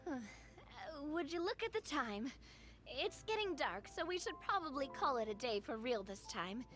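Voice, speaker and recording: A chatty young woman in a game, high-pitched and very animated. Her lines bounce along, playful and inquisitive. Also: normal speed, music in the background. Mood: awkward. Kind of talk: video game voice acting.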